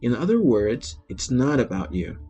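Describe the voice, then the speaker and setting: A man with a Brazilian Portuguese accent, a native Portuguese speaker, reading an article aloud in a steady, even voice as host of a language video. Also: mid-range voice, normal speed. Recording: faint music behind.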